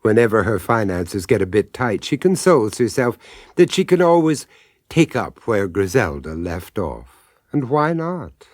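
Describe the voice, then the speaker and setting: An older man hosting and narrating a radio horror drama, relishing its dark irony with sly emphasis. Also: on the low side, sing-song, unhurried, no echo, clean studio sound. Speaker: host and narrator.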